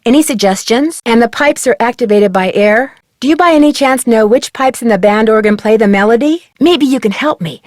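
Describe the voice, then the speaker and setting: Young female sleuth played by an actress for a mystery game: higher pitch, dry studio sound, polite, inquisitive, earnest. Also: no room sound, lightly acted. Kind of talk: scripted game dialogue and narration.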